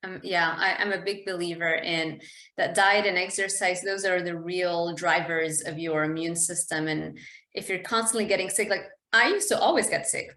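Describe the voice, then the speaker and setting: Podcast host, woman from Lebanon, lowish register, conversational interview delivery, echoey room sound. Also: normal speed, sharp tone.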